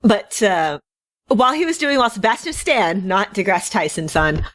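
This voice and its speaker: A woman's voice: mid-range voice, sing-song, moderate pace, fairly bright tone, no room sound. A woman co-hosting a fan podcast, chatting casually and enthusiastically, with wry asides and digressions. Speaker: podcast co-host. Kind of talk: casual fan news chat.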